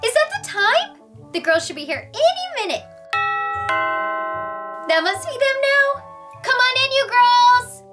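A woman playing a sweet, excitable young princess in a bubbly, girlish cartoon voice. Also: very high voice, a little slow, pauses often, music in the background, exaggerated voice. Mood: excited, curious.

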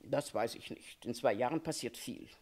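An actress in a German radio crime play voicing a talkative, gossipy Paris concierge who tells things in a chatty, confiding, opinionated way. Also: female voice, rumbling low, moderate pace, a little mellow, a little hiss or hum.

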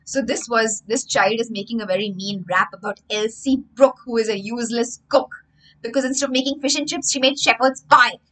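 A young woman hosts a livestream story lesson for children. Her voice sits fairly high and sounds very bright and crisp-edged. She speaks with theatrical emphasis and stops to spell out the meaning of words. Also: brisk, low-quality recording. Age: young adult. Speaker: children's English teacher and storyteller. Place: indoor room with bookshelves.

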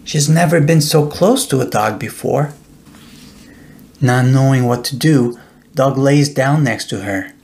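A middle-aged man reads a children's bedtime story at a very slow pace. His voice has some creak in it and sits at an average pitch. He tells it deliberately and expressively, as an author sharing his own book. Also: a little mellow.

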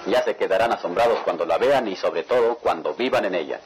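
A man's voice: sits low, brisk, lightly acted. An actor playing a proud, jovial middle-aged father in a radionovela, with a declamatory manner. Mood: excited, assured. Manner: chuckling, declamatory patriarch. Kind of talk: radionovela acting.

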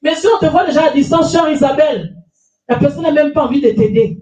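A woman's voice: high voice, fast, mellow. Woman pastor, middle-aged, with a cold; emphatic sermon, handheld mic; echoey hall, distant mic. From France. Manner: emphatic preaching, repeating phrases, calling for amens. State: has a cold. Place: small church hall with stage and lectern. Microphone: handheld mic, far camera.

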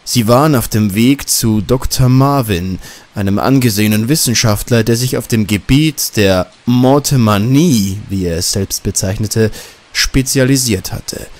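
A man narrating a scripted horror story as an audiobook. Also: fairly low pitch.

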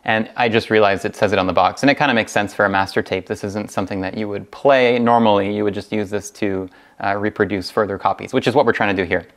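A man's voice: fairly low pitch, fairly even intonation, fast talker. A young man giving a casual hands-on tutorial, thinking aloud in a slightly hesitant way. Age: young adult. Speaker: hobbyist host demonstrating tape transfer. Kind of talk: casual tutorial vlog talk. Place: small furnished rooms at home, indoors.

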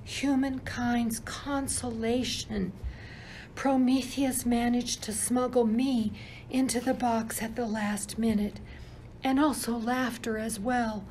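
A plaintive little spirit, acted by a woman in a radio play. The voice is high and unhurried, declaiming each phrase theatrically. There is faint noise on the recording. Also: steady tone, a little mellow, poor audio. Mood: pleading, earnest. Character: Hope, plaintive little spirit freed from a box.

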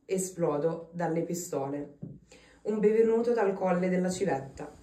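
A woman recites a poem in an even, measured voice. Her tone is slightly low and mellow. The room is echoey and she is far from the mic. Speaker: daughter reading a poem. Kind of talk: poetry reading.

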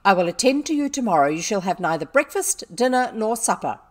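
Woman acting a loud, furious, scornful headmistress. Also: mid-range voice, very animated, very fast. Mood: stern, scolding. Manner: imperious, scolding. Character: stern, furious, scornful older schoolmistress.

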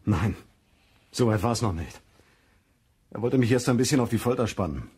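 A cornered man under suspicion in a crime radio play. His voice is husky and rather low, his manner defensive. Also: expressive, normal speed, a little mellow. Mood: confessing. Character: cornered, defensive male murder suspect.

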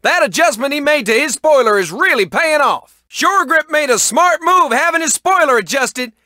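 An excitable cartoon race announcer for a video game. The voice is very high and piercingly bright, swinging widely in pitch. The delivery is folksy, showy sports commentary. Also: male voice, normal speed, no room sound, clean studio sound, heavily acted. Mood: lively commentary.

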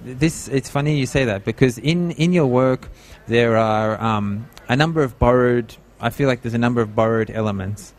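A man's voice: medium pitch, moderate pace, few pauses. A middle-aged man hosting an interview at an art fair booth, speaking on a handheld mic and sometimes searching for words. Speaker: talk host. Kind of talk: interview host.

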